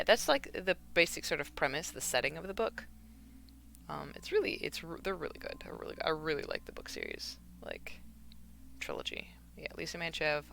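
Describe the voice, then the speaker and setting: Chatty gravelly-voiced young woman. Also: low voice, sharp tone, slight background noise. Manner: rambling, chatty, with fillers and laughs. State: a bit hoarse from not talking for hours. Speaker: craft streamer. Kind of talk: casual streaming chat while knitting. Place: at home, alone.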